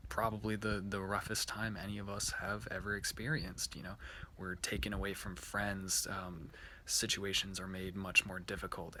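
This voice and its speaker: A man giving an informal personal reflection for worship, halting and hesitant as he searches for words. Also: deep voice, bright, forceful tone. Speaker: worship speaker. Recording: faint background noise.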